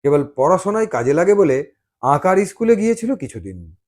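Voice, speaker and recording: A man's voice: on the high side, very animated, brisk, a little mellow. A man narrating a love story as a dramatised audiobook reading, telling it expressively.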